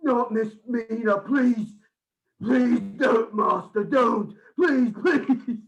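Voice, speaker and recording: A man's voice: very high voice, extremely slow, lo-fi sound, full character voice. An actor in a radio play voicing a frantic madman, an asylum patient who pleads agitatedly with his master. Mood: terrified pleading.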